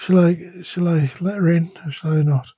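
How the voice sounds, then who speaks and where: A man who speaks English and Welsh, talking in a hesitant, halting way with many restarts in a casual conversation. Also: fairly high pitch, fairly even intonation, slightly rough, dry recording. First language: English and Welsh bilingual. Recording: dry, phone-like narrow sound.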